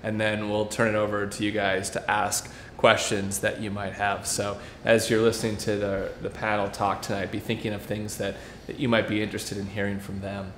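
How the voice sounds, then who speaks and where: A man moderating a panel before an audience, relaxed, with many fillers and restarts. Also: lowish voice, moderate pace, few pauses. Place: event room before an audience. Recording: echoey room with faint noise.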